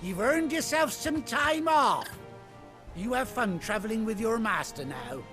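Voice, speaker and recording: An actor playing a cheerful, muddle-headed older herder with an English accent, rambling and self-deprecating in a folksy way, for a video game. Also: male voice, sits high, big pitch swings, unhurried, over music.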